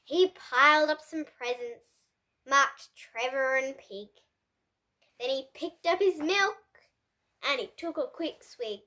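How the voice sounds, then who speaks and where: High, bright child storyteller, a girl. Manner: slow, deliberate, dramatic phrasing. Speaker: host reading children's picture books. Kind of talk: expressive storybook read-aloud.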